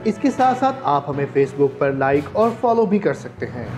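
A man's voice: on the high side, sing-song, normal speed. Upbeat male promo announcer over music. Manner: upbeat, sing-song announcing. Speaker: channel announcer.

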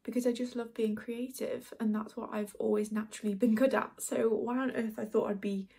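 A woman's voice: on the high side, steady tone, fairly quick, gravelly, warm tone, slightly rough. A twenty-year-old woman chatting casually while doing her makeup in a small bedroom, rambling with lots of fillers. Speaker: beauty vlogger. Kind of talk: casual get-ready-with-me chat. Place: small dim bedroom, indoors.

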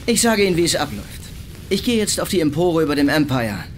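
A man's voice: a little high, big pitch swings, quick pace, slight background noise. An actor playing a taunting, self-assured, menacing male lawyer in a German radio crime drama. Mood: smug, menacing. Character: menacing, smug male lawyer blackmailing a passenger.

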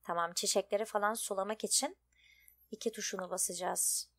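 A woman's voice: mid-range voice, fairly even intonation, fairly quick, a bit bright. A woman giving casual, chatty let's play commentary, thinking aloud as she goes. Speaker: gaming video host.

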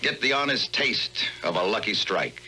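A man's voice: lowish voice, lively intonation, normal speed, pushed and bright, slight background noise, rough recording. A man narrating a 1950s television commercial in announcer style, from an old soundtrack.

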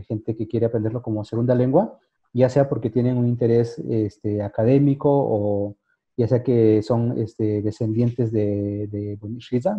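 Dull-sounding call, halting man. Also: mid-range voice. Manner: halting, searching for words. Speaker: seminar host. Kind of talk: informal explanation in online seminar. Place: indoor room on a video call. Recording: dull, muffled video-call audio.

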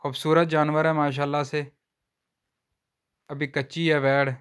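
A man walks viewers through cattle for sale on a farm, talking in plain, unhurried sales patter and filming on a handheld phone outdoors. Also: fairly high pitch, little pitch movement, clean tone. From Pakistan. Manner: repeats a stock religious phrase often. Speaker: livestock seller vlogger. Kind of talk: casual sales walkthrough.

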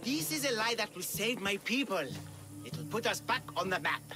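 A man voicing a hapless, cowardly villager who poses as a monster slayer, theatrical, bumbling and excitable, in a video game. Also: high voice, moderate pace, over music. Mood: grateful, nervous. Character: hapless, melodramatic, cowardly male villager posing as Minotaur slayer. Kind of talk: video game character acting.

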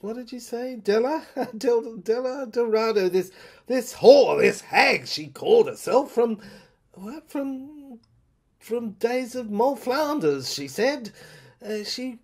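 A woman's voice: fairly high pitch, expressive, slow pace, a little mellow, heavily acted. A woman performing a haunted, agitated narrator in an audio drama monologue, stammering and repeating words. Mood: uneasy, remembering, then scornful, then halting recall. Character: haunted, agitated narrator recalling an uncanny asylum.

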